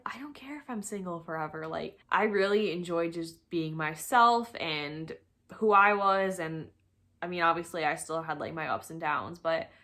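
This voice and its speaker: Young woman, slightly low voice, casual storytime vlogger, chatty and self-deprecating. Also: bright, forceful tone. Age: young adult. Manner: chatty, informal, self-deprecating, acts out quoted dialogue.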